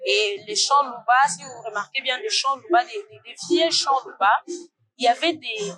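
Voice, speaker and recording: A woman's voice: on the high side, normal speed, crowd noise, low-quality recording. A woman hosting an interview, asking questions and affirming her guest often. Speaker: host.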